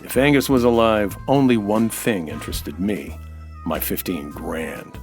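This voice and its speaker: An actor playing a wry, hardboiled American nightclub owner, dry and wisecracking, in the manner of old radio noir. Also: male voice, on the low side, a little slow, music in the background. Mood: wry, narrating. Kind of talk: old-time radio noir narration and dialogue.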